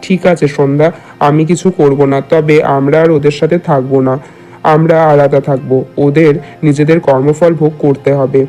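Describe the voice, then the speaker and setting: A man's voice: on the high side, little pitch movement, moderate pace, warm tone, soft background music, natural voice. A man playing a firm young husband, loyal to his wife, in a moral-tale cartoon. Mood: hurt, firm.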